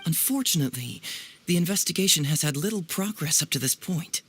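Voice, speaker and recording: A man's voice: fairly high pitch, lively intonation, fairly quick, fairly bright tone, breathy edge. An actor playing a clever, playful young male detective in an anime video game, musing theatrically and teasingly. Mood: confident, playful.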